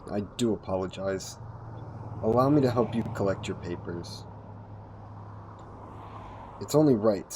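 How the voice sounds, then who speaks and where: A man acts out an ancient vampire, once a king, for an audio roleplay. He is low-pitched and very unhurried, with courtly, formal manners over a predatory nature. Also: background noise, natural voice. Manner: formal, old-fashioned, courtly wording. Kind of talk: intimate audio roleplay acting.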